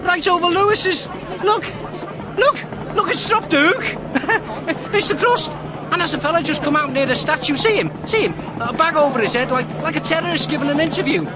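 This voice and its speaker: An actor playing a chatty, excitable small-time chancer in a radio drama, with a Liverpool accent and a rambling, matey manner. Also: male voice, very high-pitched, moderate pace. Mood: excited, alarmed. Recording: busy outdoor crowd and traffic behind. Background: street crowd, vehicles.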